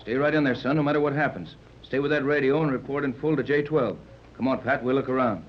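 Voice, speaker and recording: An actor playing a commanding, level-headed yacht captain who gives clipped orders in a 1930s radio serial. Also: male voice, medium pitch, quick pace, lightly acted. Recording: dull, muffled 1930s radio recording.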